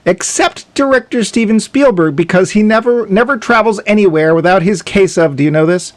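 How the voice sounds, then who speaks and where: A man's voice: sits high, brisk, no room sound. A man co-hosting a movie podcast in casual, jokey chat, laughing often. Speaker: podcast co-host.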